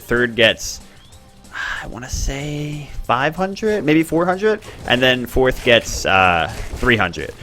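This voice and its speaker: A man hosting and commenting on a game tournament in a chatty, excitable way. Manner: chatty, excitable, bursts up in pitch at plays. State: expects to lose his voice.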